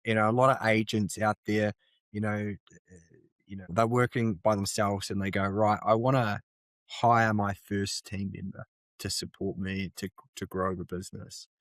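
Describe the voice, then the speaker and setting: A man's voice: on the low side, level tone, moderate pace, no echo. A man hosting an interview, asking long, rambling questions that he reworks as he goes and nodding along with frequent affirmations. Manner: rambling, self-correcting questions, many affirmations. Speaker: interview host. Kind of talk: conversational interviewing.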